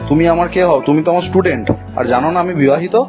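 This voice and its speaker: A man playing a married teacher in an animated story, polite but easily flustered and indignant, with emphatic exclamations. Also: a little high, fast, over music, lightly acted.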